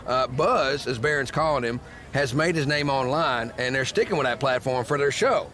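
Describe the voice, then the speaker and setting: An actor playing a conversational, opinionated wrestling news host, presenting scripted sports segments. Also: male voice, medium pitch, moderate pace, fairly bright tone, lightly acted. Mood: informative.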